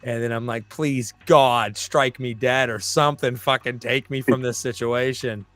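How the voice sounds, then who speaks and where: A man's voice: mid-range voice, fairly even intonation, normal speed, fairly bright tone. A man hosting a podcast in a casual chat, telling stories, swearing freely and acting out his own reactions. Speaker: podcast host.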